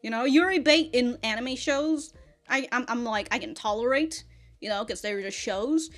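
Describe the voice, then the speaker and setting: A woman's voice: a little high, normal speed, fairly bright tone. A young adult woman giving a casual, rambling review as a reaction host, informal and giggly in her retellings. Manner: frequently swearing, informal fan slang. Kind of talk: casual rambling episode review with acted-out quotes.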